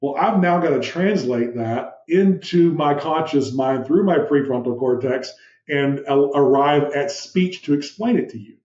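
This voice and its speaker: A man with a big, commanding voice explains ideas on a podcast, asking rhetorical questions along the way. His tone is mellow and dark. The audio sounds muffled and echoey, as if from a roomy remote setup. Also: fairly high pitch, measured pace. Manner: animated, uses vivid room analogies and rhetorical questions. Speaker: podcast guest, business founder. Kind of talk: explanatory interview talk.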